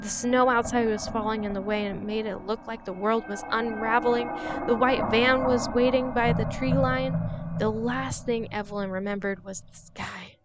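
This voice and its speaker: A woman's voice: on the high side, steady tone, moderate pace, loud music behind the voice. A woman reading a scripted horror story as its narrator.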